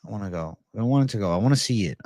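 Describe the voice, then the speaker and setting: A man's voice: fairly low pitch, animated, rapid-fire, darkish tone, no echo, studio quality. A man hosting a casual livestream, chatting and answering viewers in slangy, profane, bro-heavy banter. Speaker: livestream host.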